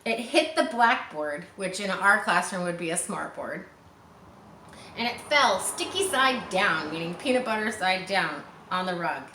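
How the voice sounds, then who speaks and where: A woman reads a children's chapter book aloud, voice leaping up and down with animated expression and pausing for chatty asides to young listeners. The room is echoey, with a faint steady hum underneath. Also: medium pitch. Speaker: reader.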